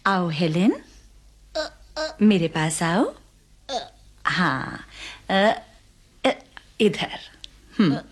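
An actress playing a patient, gentle teacher in an audio drama, coaxing a small child with short phrases and soft encouraging hums. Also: female voice, mid-range voice.

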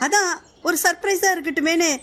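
Woman acting a high-voiced, proud young businesswoman. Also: brisk. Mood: friendly, teasing. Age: young adult. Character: proud, touchy young female factory owner. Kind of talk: scripted drama dialogue.

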